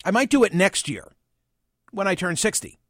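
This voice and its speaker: Man, 60s, host; measured, wry monologue, high-pitched and dry. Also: sing-song, no room sound. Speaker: podcast and radio host. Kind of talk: reflective personal monologue.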